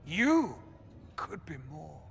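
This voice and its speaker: A grave fantasy game mage, higher voice, really slow and solemn. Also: male voice, very animated, creaky, full character voice. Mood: solemn, intense. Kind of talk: dramatic video game dialogue.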